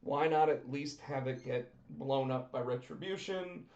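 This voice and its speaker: A man co-hosting a casual wrestling podcast, talking excitedly and repeating himself, with his voice going hoarse. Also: mid-range voice, unhurried. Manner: excited, talkative, repeats words when starting. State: losing his voice. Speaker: podcast co-host. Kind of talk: casual chat about pro wrestling. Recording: roomy, dull lo-fi sound.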